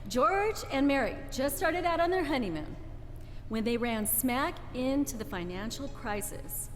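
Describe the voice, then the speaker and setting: A brisk but patient senior angel played by a woman narrating in a 1940s radio drama. Her high voice carries long hall reverb and a little background noise. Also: animated. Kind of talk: 1940s radio play acting and storytelling.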